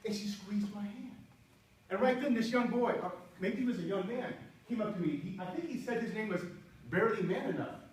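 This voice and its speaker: A man in his sixties giving an animated, humorous speech to an audience from a stage, now and then breaking into bits of song. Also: sits high, brisk. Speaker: contest speaker. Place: auditorium stage before an audience. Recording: echoey hall from far off, faint hiss.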